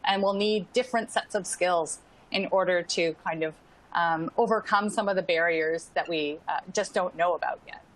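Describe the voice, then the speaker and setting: A woman's voice: lowish voice, moderate pace, low-quality recording. Young-sounding casual woman panelist. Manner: casual, frequent "like" and "um". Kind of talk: online panel discussion.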